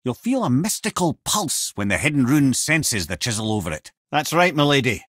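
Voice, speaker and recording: A man's voice: medium pitch, sing-song, normal speed, a bit bright, husky, no echo, clean studio sound. An actor with a Scottish accent playing a clever, chatty old male advisor in a video game, speaking with wry eloquence like a storyteller. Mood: grave storytelling. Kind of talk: video game character voice lines.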